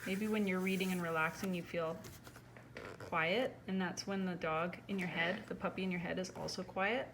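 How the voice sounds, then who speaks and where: A woman reading a picture book aloud to children in a casual, conversational way, pausing to put questions to the listener. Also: on the low side, fairly even intonation, noisy background. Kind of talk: casual read-aloud with chatty asides to young viewers.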